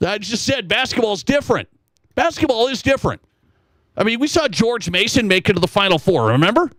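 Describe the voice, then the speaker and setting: Man, sports talk host, higher-than-average pitch, dry studio sound, emphatic, opinionated, conversational. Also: very animated, brisk, fairly bright tone, no room sound. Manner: opinionated, emphatic, repeats points. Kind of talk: conversational sports commentary, reading listener texts.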